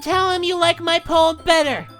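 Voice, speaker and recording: A woman's voice: very high voice, fairly even intonation, moderate pace, a bit bright. A woman playing a blunt, scornful, hot-tempered teenage boy in an audio drama. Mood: combative. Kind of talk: visual novel audio drama acting. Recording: music behind the voice.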